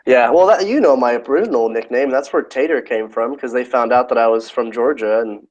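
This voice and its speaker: Easygoing young guy, light Midwest accent, mid-pitched voice, laughs a lot in casual chat. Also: brisk, lo-fi sound. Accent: Midwest American. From United States. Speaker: guest.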